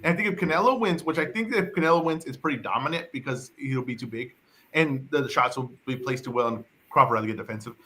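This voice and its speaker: A man's voice: medium pitch, quick pace, fairly bright tone. A man on a sports show panel, chatting casually and giving his analysis.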